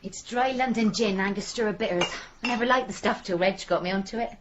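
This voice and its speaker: An actress playing a blunt, confiding working-class village wife in a radio drama. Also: female voice, mid-range voice, fast talker, lo-fi sound, background noise, natural voice. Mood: blunt, weary.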